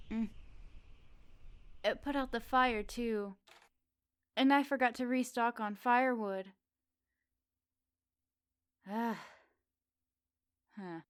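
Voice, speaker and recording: A woman plays a centuries-old witch of the woods in an intimate ASMR roleplay. She speaks slowly at a medium female pitch, coy and teasing, with expressive turns and soft pauses. The sound is dry, with very little room around her. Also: clear, resonant tone, no echo, lightly acted. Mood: dismayed.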